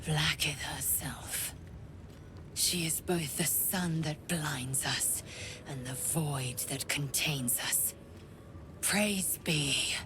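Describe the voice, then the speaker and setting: Proud zealot warrior woman from a fantasy game, low voice, slow clipped formal lines, music behind. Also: noisy background. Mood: reverent, intense. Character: proud, devout young alien warrior woman, curt and zealous.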